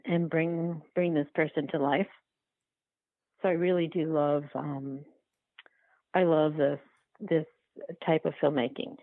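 A woman being interviewed about her work, speaking reflectively and haltingly as she searches for words. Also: deep voice, narrow, tinny audio. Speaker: documentary filmmaker, interview guest. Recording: roomy, band-limited remote-call sound.

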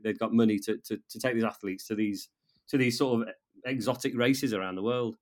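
A man in his fifties from North Wales with a North Welsh accent, a guest in a casual interview who talks haltingly, repeating words and restarting his sentences. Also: lowish voice, fairly even intonation.

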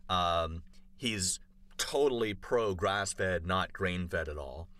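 A man's voice: deep voice, slow, a bit bright, dry recording. A man chatting casually on a podcast, telling a long story full of fillers and restarts. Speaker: podcast co-host. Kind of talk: casual chat.

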